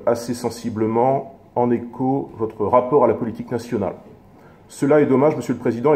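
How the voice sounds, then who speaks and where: Mellow-voiced man giving a formal council speech. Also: mid-range voice. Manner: measured, formal, halting phrasing with self-corrections. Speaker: opposition local councillor.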